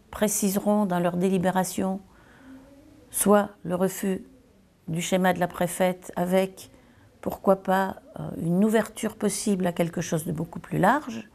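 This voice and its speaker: A woman giving a semi-improvised political statement as a local elected official, deliberate and hesitant, repeating phrases for emphasis. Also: lowish voice, mellow.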